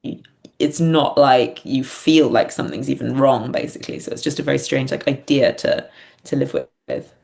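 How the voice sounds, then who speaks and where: A woman's voice: a bass voice, normal speed, creaky, a little mellow. A woman talking candidly and reflectively in a casual interview, thinking aloud as she goes. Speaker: podcast guest, playwright and performer.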